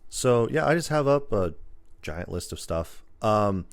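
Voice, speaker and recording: A man's voice: fairly low pitch, lively intonation, measured pace, creaky. A man hosting a podcast in a casual, rambling chat, listing things off as he goes. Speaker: podcast host.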